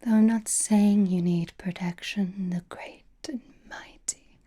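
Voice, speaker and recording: Slow, whispering, flirtatious vampire played by a woman. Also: medium pitch, steady tone, dark tone. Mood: teasing, coy. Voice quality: breathy whisper. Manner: coy, theatrical, old-fashioned endearments, lingering phrasing. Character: flirtatious, doting, teasing female vampire companion. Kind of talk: whispered ASMR roleplay.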